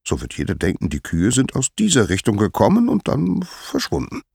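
A man's voice: fairly low pitch, huge pitch swings, fairly quick, creaky, a little mellow, breathy edge, dry recording, studio quality, voice pushed up, heavily acted. A man voicing a sly, playful little boy god who schemes and feigns innocence in a storybook tale. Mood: sly, pondering. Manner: sly, wide-eyed, playful.

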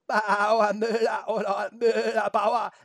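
A man voicing a stubborn, muddled and grumpy female goat in a children's story, with a bleating, drawn-out, defiant delivery. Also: sits high, level tone, fairly bright tone, dry recording, studio quality, voice pushed up.

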